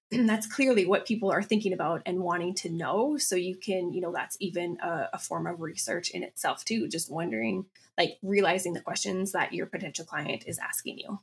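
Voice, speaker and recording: A young woman from Canada, a copywriter guest on a podcast, talking in a relaxed, chatty way. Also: lowish voice, moderate pace, husky. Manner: relaxed, chatty, lots of fillers. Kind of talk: casual interview chat.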